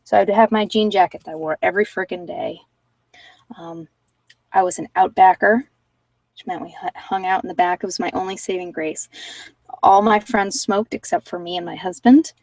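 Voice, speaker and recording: A woman's voice: fairly low pitch, fairly even intonation, normal speed, darkish tone, no echo. Candid woman chatting, slightly distant dry sound. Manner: informal, candid, self-deprecating. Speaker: livestream host giving card readings. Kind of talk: casual rambling chat with live viewers.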